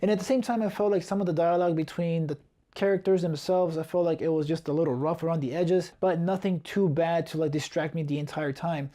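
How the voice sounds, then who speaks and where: A young man from Ecuador gives a casual movie review. His voice sits a little high but has a mellow, warm tone. He rambles easily and leans on filler words as he talks. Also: steady tone, a little fast. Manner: loose and chatty, many filler "like"s.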